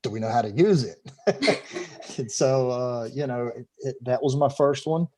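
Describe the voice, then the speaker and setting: A man's voice: mid-range voice, lively intonation, unhurried, background noise. A middle-aged man, talking as a guest on a video call interview, rambling and repeating words as he goes. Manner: talkative, stumbles and repeats words, laughs easily. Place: home room.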